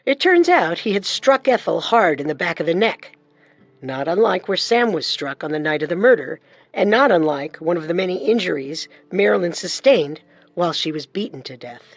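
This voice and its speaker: A woman's voice: fairly low pitch, very animated, moderate pace, no room sound. A woman reading scripted true-crime narration. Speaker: narrator, co-host. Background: music.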